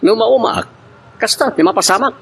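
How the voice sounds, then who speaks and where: Man acting sullen, higher-voiced young husband. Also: animated, moderate pace, vocal fry, fairly bright tone, slight background noise, exaggerated voice. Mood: bitter. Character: young husband and father, defensive, resentful, curt. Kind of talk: Ilocano radio-style drama acting.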